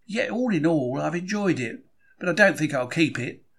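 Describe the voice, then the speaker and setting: Cheeky 1930s bookseller diarist , male reader: higher-set husky voice, chatty, opinionated, colloquial storytelling. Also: lively intonation, moderate pace, a bit bright, exaggerated voice. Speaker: reader of a diary. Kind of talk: expressive scripted audiobook-style reading.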